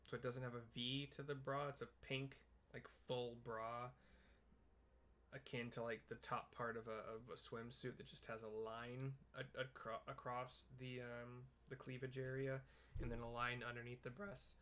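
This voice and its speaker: Casual gamer describing the screen, middle pitch, halting and hunting for words, noisy recording. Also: level tone, a bit bright. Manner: hesitant, searching for words in halting fragments. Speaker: streamer narrating a game. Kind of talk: casual, improvised visual description of a game for listeners.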